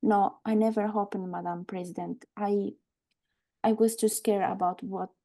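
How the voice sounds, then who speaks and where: A frank, composed woman with an undercurrent of unease, played by an actress at a live table read of a film script. Her tone is mellow and relaxed at a medium pitch. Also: moderate pace, close to an everyday voice. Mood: uneasy, respectful. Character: earnest, frank warehouse woman named Aoife, uneasy but composed.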